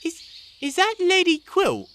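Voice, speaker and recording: A man's voice: very high-pitched, huge pitch swings, a little slow, a bit bright, a little hiss or hum, heavily acted. A man playing a bumbling, servile wooden nutcracker captain with exaggerated, flustered eagerness in a fantasy audio drama.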